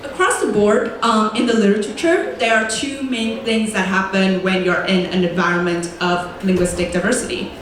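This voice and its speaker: A young woman from Vietnam, a native Vietnamese speaker, gives a prepared talk at a middle pitch. She speaks in a clear, measured way and leans on key words. She is picked up from a distance in a large room with heavy echo and a faint hum of ambience. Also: steady tone. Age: young adult. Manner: clear, deliberate, slows to stress key words. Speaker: student presenter. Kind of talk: prepared lecture presentation. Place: large echoey lecture room. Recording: very echoey room, voice from far off.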